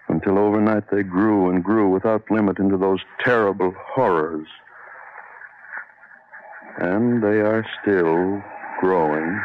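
An actor playing an anguished, guilt-ridden man losing his mind, in a melodramatic, halting radio horror monologue. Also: low-pitched. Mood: dread, despair. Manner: melodramatic, halting, haunted delivery. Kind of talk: 1940s-style radio horror monologue acting. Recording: muffled, noisy old radio recording.

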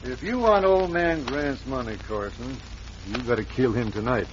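A man's voice: medium pitch, normal speed, natural voice. Guy playing crooked frontier lawyer, drawly and bossy, old radio western, dull hissy audio. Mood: cold, calculating. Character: scheming, bossy crooked Old West lawyer plotting murder. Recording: dull, noisy vintage radio recording.

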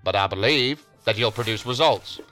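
Stern male federal agency director, lowish forceful voice, formal and commanding, for sci-fi audio drama. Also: soft background music. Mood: commanding, impatient. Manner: formal, firm, commanding, measured. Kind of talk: science fiction audio drama acting.

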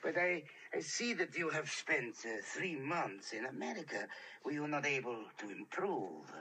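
A man's voice: on the high side, very animated, unhurried, bright, forceful tone, a little hiss or hum, exaggerated voice. An actor playing a fussy, courteous male detective who asks precise questions.

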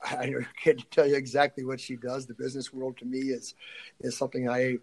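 Man, middle-aged, mid-pitched, casual interview talk, coach, halting, thinking aloud. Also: little pitch movement, darkish tone. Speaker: college strength and conditioning coach being interviewed.

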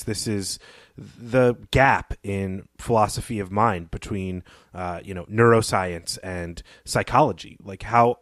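A man's voice: lowish voice, fairly bright tone, no room sound, clean studio sound. A man co-hosting a literary podcast, speaking conversationally with thoughtful, academic phrasing. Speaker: podcast co-host.

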